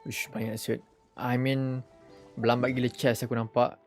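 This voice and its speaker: A man's voice: medium pitch, flat delivery, normal speed, a little mellow. A Malaysian-accented man giving casual live game commentary, talking to himself in short slangy exclamations. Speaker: game streamer. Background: game music.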